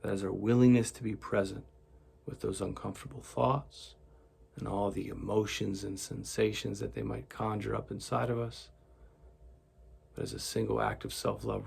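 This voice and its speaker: A man leading a guided meditation in a gentle, soothing, deliberate way, with a gravelly, husky voice. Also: fairly low pitch.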